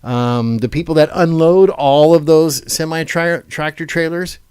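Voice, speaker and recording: A man's voice: on the high side, steady tone, unhurried, darkish tone, smooth, no echo, clean studio sound. A man hosting a casual live panel chat, rambling and thinking aloud as he puts questions to the others. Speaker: radio show host. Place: radio studio.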